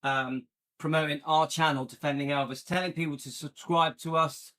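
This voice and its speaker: A man's voice: fairly even intonation, moderate pace, sharp tone. A middle-aged man, hosting a casual live stream and chatting with viewers, rambling with a playful edge. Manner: chatty and rambling, jumps into a higher playful pitch when joking. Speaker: YouTube channel host.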